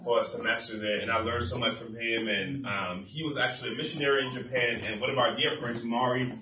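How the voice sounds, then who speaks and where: A man's voice: mid-range voice, fairly even intonation, quick pace, a crowd in the background. A young man from Liberia speaking informally at church, telling his testimony in a conversational storytelling way. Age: young adult. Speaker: campus minister, guest speaker at church. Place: large room at a church gathering. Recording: roomy, dull sound from distant mic.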